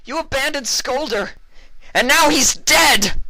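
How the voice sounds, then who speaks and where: Give this storybook villain a forceful, close and dry sound. She is a vengeful pony gunfighter, played by a man, cruel and volatile, who spits her words with menace. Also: very high-pitched, slow, dry recording, voice pushed up, full character voice, rough recording. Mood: furious.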